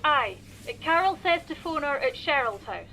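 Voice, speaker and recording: A woman's voice: high voice, sing-song, normal speed, bright, forceful tone, a little hiss or hum, heavily acted, rough recording. A woman playing a blunt young girl in a naturalistic radio play.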